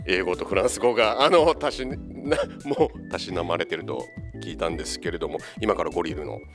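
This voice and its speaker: Very deep-voiced man interviewing. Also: brisk. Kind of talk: polite on-location interview. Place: meeting room, indoors. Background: music.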